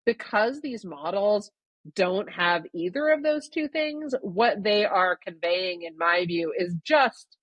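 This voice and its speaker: A woman from Canada, a guest author answering podcast interview questions in a thoughtful, measured way that qualifies her claims. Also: mid-range voice, expressive, no echo, lo-fi sound.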